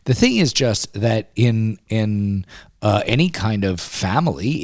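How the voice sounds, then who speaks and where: A man with a fairly low voice talks in a dry, close-miked way. He hosts a podcast with an emphatic, talkative manner and often restarts his sentences. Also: a little mellow, no echo. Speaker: podcast host. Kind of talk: casual podcast chat.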